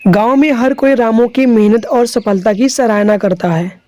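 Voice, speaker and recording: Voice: medium pitch, normal speed, a little mellow. A narrator reading a scripted children's story.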